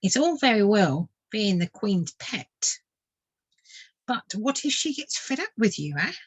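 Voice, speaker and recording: A woman's voice: mid-range voice, big pitch swings, unhurried, slightly rough, voice pushed up. Lady doing a grumpy little water vole bloke for a kids story, blunt n wary, takes his time. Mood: wary, warning. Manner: sharp, grumbling, colloquial. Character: blunt, sharp-tongued male water vole, small and wary. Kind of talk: children's storybook character voice.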